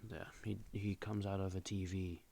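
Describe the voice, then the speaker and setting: A man's voice: deep voice, flat delivery, normal speed, mellow. A man giving casual, mocking reaction commentary, sounding incredulous and swearing freely. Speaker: reaction video host.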